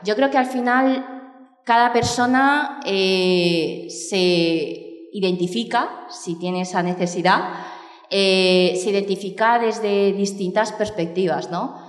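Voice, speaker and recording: A woman's voice: mid-range voice, slow. Woman talking in an echoey room. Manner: reflective, many fillers and restarts. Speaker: documentary filmmaker. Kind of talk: thoughtful interview talk. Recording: echoey room with long reverb.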